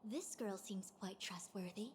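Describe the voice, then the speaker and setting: A woman voicing a calm, philosophical young woman in a video game, with formal, wry, measured phrasing. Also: mid-range voice, a little hiss or hum, natural voice. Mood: dry, detached. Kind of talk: video game dialogue acting.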